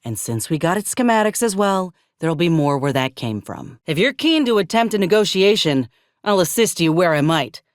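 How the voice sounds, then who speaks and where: An actress voicing a frank, loyal frontier soldier in a video game. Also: female voice, fairly low pitch, very animated, normal speed, no room sound, studio quality. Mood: grave, frank. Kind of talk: scripted video game character dialogue.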